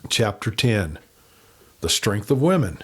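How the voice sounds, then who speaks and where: A man reading a western novel aloud as an audiobook narrator, in an expressive, dramatic storyteller's manner. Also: lowish voice, measured pace, breathy edge.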